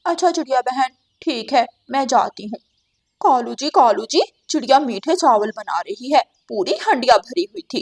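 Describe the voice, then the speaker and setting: Woman as a cartoon crow wife, greedy, sly, wheedling, high-ish voice. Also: lively intonation, normal speed, a little mellow. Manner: wheedling, nosy.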